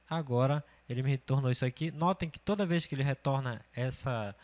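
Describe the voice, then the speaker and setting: Man in his thirties teaching spreadsheets. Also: on the high side. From Brazil. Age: 30s. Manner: steady step-by-step explaining, often checking with "certo?". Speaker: computer course teacher. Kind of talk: screencast spreadsheet tutorial.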